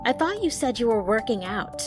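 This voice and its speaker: A woman's voice: fairly high pitch, big pitch swings, brisk, over music. A woman playing a brash, crude, tomboyish young woman in an audio drama, blunt and cheeky. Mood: wry.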